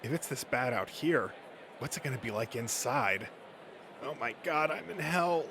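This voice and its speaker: A man's voice: mid-range voice, lively intonation, moderate pace, a bit bright. A worn-out, disgruntled convention nerd, voiced by a man. He groans and complains as a comic-dub character, over faint background noise. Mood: exhausted griping.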